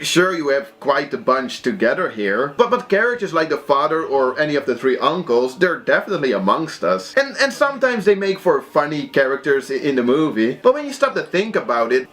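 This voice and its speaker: A young Belgian man whose first language is Dutch, casually recommending movies in a halting, animated way with frequent restarts. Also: fairly high pitch.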